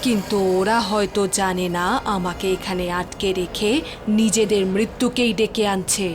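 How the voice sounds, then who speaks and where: A woman voicing a secretly menacing female doctor, brooding slowly and ominously in an animated horror story. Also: medium pitch, close to an everyday voice. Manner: slow, ominous inner monologue. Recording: noisy ambience behind the voice.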